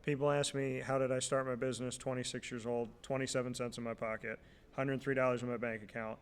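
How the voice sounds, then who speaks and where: A man from New York hosting a talk show, telling lively, jokey stories in casual interview chat. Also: mid-range voice, quick pace, clear, resonant tone, big-room sound.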